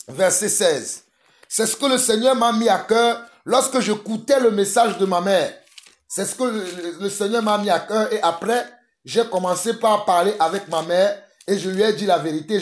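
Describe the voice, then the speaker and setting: A man from Togo, preaching a fervent sermon that mixes prayer with urgent exhortation and repetition. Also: high-pitched. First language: a Togolese language.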